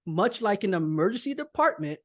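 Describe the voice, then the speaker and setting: A man co-hosts a relaxed conversation. He steps in to explain background in an even, informative way and readily agrees with his partner. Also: high voice, brisk. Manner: explanatory, adds context, affirming interjections. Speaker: podcast co-host. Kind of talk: casual chat.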